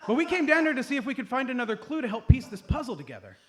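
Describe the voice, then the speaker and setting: Guy doing live radio comedy, high voice, mock serious take-charge type. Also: very animated, racing, fairly bright tone. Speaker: comedy performer.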